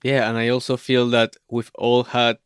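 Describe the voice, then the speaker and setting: Smooth-voiced Venezuelan-accented man. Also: mid-range voice, completely flat delivery, no room sound. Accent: Venezuelan Spanish. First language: Spanish. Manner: warm, affirming, measured questions. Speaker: podcast host. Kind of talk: interview podcast. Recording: dry close mic.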